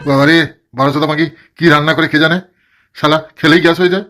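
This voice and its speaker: A cranky middle-aged village husband, voiced by a man, rattles along at a brisk clip in a slightly high voice. He curses at every turn and grumbles about everything. It sounds like a cartoon comedy. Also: steady tone, soft background music. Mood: grumbling. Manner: swears constantly, complains loudly.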